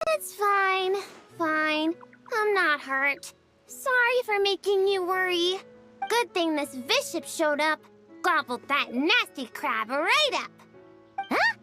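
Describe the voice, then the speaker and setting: A woman's voice: very animated, takes it slowly, faint music behind, heavily acted. A woman voicing a small, cute, childlike female fairy creature in a video game, cheerful and earnest, with exclamatory delivery. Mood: excited, curious. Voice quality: high, bright, childlike.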